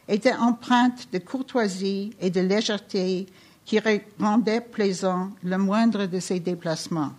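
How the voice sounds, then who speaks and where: Female non-native French speaker, author, reads her prose aloud evenly with occasional restarts. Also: medium pitch. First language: not French. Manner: steady literary reading with small restarts. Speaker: author reading her work. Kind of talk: reading a novel excerpt aloud.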